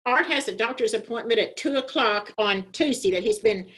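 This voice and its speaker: A woman's voice: a little high, moderate pace, a bit bright. An older woman, a resident in a group video call, talking casually in a plain-spoken, practical way. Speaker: senior living resident in theater group. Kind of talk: casual meeting talk.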